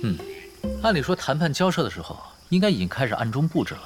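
A man's voice: medium pitch, very animated, fast, close to an everyday voice. A man playing a laid-back, sly young gamer who teases and schemes in a nonchalant, wry way in an audio drama. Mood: wry, relaxed. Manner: nonchalant, wry, dry teasing. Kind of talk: Mandarin audio drama acting, conversational banter. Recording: studio drama with music underneath.

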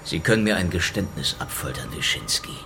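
A man's voice: low-pitched, lively intonation, brisk, background noise. An actor in a German radio play playing a cornered, hard-boiled Soviet secret policeman, defiant, terse and dryly sarcastic.

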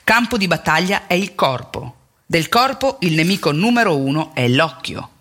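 A woman's voice: on the low side, sing-song, moderate pace, a bit bright. A woman delivering a theatrical monologue as narrator, with an emphatic, wry, mock-solemn manner. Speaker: stage actress and narrator.

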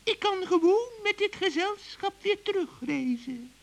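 A man voicing a sly old female witch in a children's radio play, shrill and fawning, with drawn-out pleading. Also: lively intonation, fairly bright tone, full character voice. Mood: fawning, wheedling.

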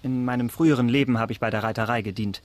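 Guy playing a polite careful priest in a radio play, medium-pitched voice, formal and measured, bit guarded. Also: fairly even intonation, close to an everyday voice. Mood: pious, guarded. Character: courteous man posing as travelling priest, ex-cavalryman, guarded. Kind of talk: German radio drama acting.

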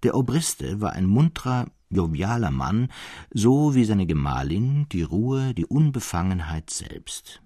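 A man's voice: lowish voice, sing-song, unhurried, dark tone, dry recording, clean studio sound. An actor playing a Romantic literary storyteller who tells scripted ghost tales to his friends. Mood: animated storytelling.

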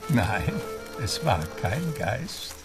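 A man's voice: fairly low pitch, sing-song, drawn-out words, music in the background, full character voice. A man narrating an adventure radio drama as a composed storyteller with scripted delivery. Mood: composed, dramatic.

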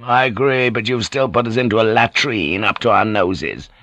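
Man playing a gruff, truculent samurai lord. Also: on the low side, moderate pace, bright, forceful tone, no room sound, highs cut off. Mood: sour. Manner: gruff, sour, interjecting grunts. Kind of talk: audiobook character acting.

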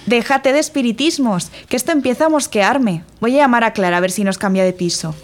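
A woman playing a brisk, sceptical, easily exasperated tenant in an audio drama. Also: fairly high pitch, natural voice. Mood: annoyed. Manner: brisk, no-nonsense.

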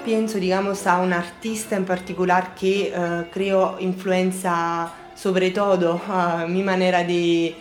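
A woman with an Italian accent, Italian being her first language, answering interview questions as a radio artist. She talks thoughtfully, pausing often to search for her words. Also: lowish voice, flat delivery, soft background music. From Naples, Italy.

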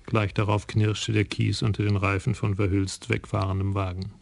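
A man giving measured, scripted narration for a radio crime play. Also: lowish voice, flat delivery, creaky.

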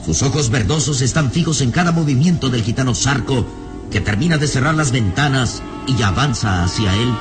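A man's voice: medium pitch, lively intonation, normal speed, over music, close to an everyday voice. A man narrating a radio serial drama in a solemn, suspenseful scripted style.

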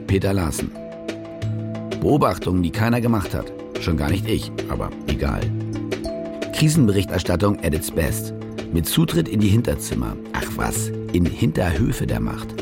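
A man's voice: deep voice, gravelly, heavily acted. An actor playing a cynical, boastful war reporter who narrates his own radio drama in a sardonic, casual way. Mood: smug, sardonic. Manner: sardonic, self-promoting, casual.

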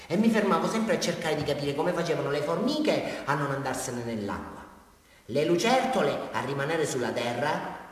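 An actor playing a rambling, unhinged man with a southern Italian accent confessing a crime in a theatrical radio monologue. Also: a little high. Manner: rambling, repetitive, addressing a police officer, swinging from muttering to outbursts. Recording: very echoey hall, far from microphone.

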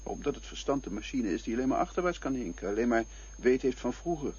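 An actor playing a reflective companion who speaks in a poetic, musing way. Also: male voice, mid-range voice, animated, quick pace, darkish tone, breathy edge. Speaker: actor in a radio play. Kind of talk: radio drama acting. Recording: dull lo-fi recording with faint music.

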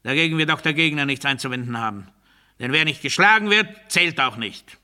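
Male authoritarian orator, radio play monologue, highish pitch, piercingly tense and forceful, pompous, self-righteous, menacing, declamatory. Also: expressive, fairly quick, clean tone. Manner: pompous, declamatory, emphatic rhetorical question and answer.

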